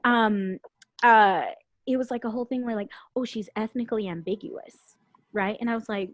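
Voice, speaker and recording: A woman from Puerto Rico, a guest on a podcast and an actor, telling personal stories candidly, animated and emotional, acting out the voices she quotes. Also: fairly high pitch, normal speed, dull audio, slight background noise. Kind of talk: candid conversational storytelling.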